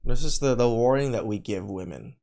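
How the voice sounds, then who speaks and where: A man's voice: medium pitch, lively intonation, fairly quick, vocal fry, dark tone. A man hosting a podcast, reacting in a casual, opinionated and conversational way. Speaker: podcast host reacting to a video.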